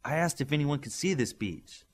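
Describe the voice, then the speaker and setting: A man voicing a friendly, quick-witted young male superhero speedster in a storybook, talking casually. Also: fairly high pitch, animated, pitched above the performer's own voice. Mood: casual, practical.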